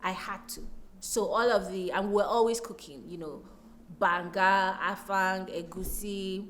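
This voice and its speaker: A woman's voice: mid-range voice, fairly even intonation, unhurried, fairly bright tone, a little hiss or hum. A woman with a Nigerian accent talking reflectively as an interview guest, telling things expressively with emphatic repetition.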